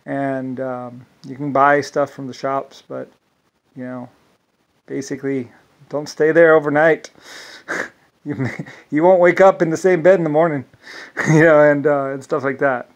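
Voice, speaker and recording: Brief: male vlogger, higher-pitched, rambling delivery full of restarts and asides. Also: fairly even intonation, a little slow, long pauses. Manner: meandering, restarts often, many "anyway" asides. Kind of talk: rambling solo monologue.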